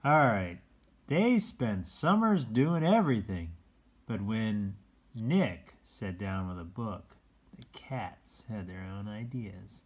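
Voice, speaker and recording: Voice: mid-range voice, very animated, slow, husky. A person reading a children's picture book aloud in an informal, chatty way, adding asides and explaining words simply to young listeners.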